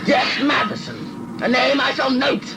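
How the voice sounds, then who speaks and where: A woman's voice: high-pitched, normal speed, music in the background, lightly acted, poor audio. A woman playing a pompous, threatening alien commander in a sci-fi audio play. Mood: imperious, menacing.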